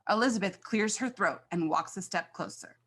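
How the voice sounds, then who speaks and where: A woman's voice: mid-range voice, moderate pace, fairly bright tone, breathy edge. A woman reading scripted stage directions as the narrator of a screenplay.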